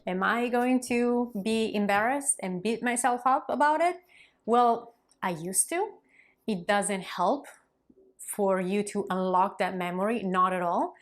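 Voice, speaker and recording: A woman's voice: medium pitch, measured pace. Encouraging woman coach. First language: Italian. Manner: warm, encouraging, conversational with occasional fillers. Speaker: Italian language coach. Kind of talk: direct-to-camera coaching talk.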